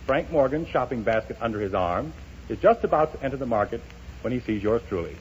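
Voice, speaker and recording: A man's voice: mid-range voice, big pitch swings, brisk, highs cut off, a little hiss or hum. An American man working as a radio comedy announcer in a scripted 1930s style, on a vintage broadcast recording.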